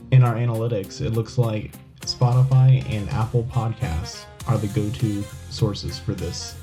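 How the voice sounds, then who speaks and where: A man speaking as a podcast host, informal and plain-spoken. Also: fairly low pitch. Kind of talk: informal podcast announcements and outtakes.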